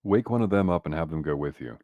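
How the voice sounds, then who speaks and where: A man with a deep voice, recorded close and dry, plays a tabletop roleplaying game. He speaks casually in character and has a wry, sardonic way of putting things. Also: lively intonation, fast, dry recording, narrow, tinny audio.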